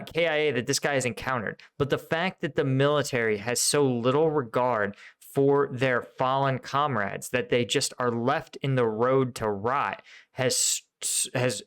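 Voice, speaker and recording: A man's voice: medium pitch, a bit bright, smooth. American man giving off-the-cuff military commentary. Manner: explanatory, halting, often checks in with "right?". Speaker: host, military veteran commentator.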